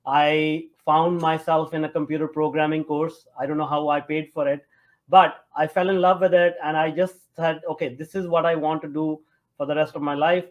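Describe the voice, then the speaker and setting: A man from Punjab with a North Indian accent, answering as an interview guest in a halting, conversational way with many fillers. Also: on the high side, level tone, low-quality recording. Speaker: interview guest, tech entrepreneur.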